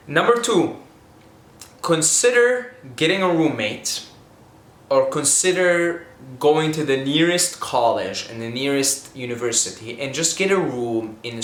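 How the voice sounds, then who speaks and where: A man's voice: mid-range voice, animated, a little slow, fairly bright tone. Young man from Syria, casual vlog talk, rambling, earnest, frequent restarts, echoey room. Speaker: vlogger giving money tips.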